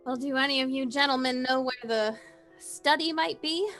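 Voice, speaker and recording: A woman playing a tabletop roleplaying game, speaking in character with measured, formal phrasing. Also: high-pitched. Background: soft background music.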